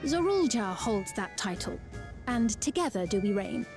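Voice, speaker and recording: An actress playing a gracious, soft-spoken young queen in a video game, with formal, measured, courteous diction. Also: a little high, big pitch swings, soft background music. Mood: earnest, measured. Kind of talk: scripted video game voice acting.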